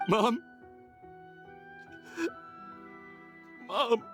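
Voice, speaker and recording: An actor playing a desperate, grieving son in a video game, pleading and halting as he speaks. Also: extremely high pitch, fairly bright tone, soft background music, full character voice. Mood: distraught. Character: desperate grieving adult son pleading with his dying mother. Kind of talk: dramatic video game voice acting.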